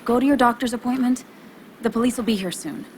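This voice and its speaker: A woman's voice: on the high side, fast, darkish tone, slight background noise, natural voice. A woman playing a brave, stubborn adult daughter in a video game drama, firm and a little weary, speaking informally. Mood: firm, reassuring.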